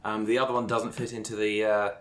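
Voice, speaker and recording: A man's voice: on the low side, flat delivery, fast, close to an everyday voice. A man voicing an awkward, literal-minded office accountant, hesitant, precise and apologetic as he stammers along. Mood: earnest, hesitant.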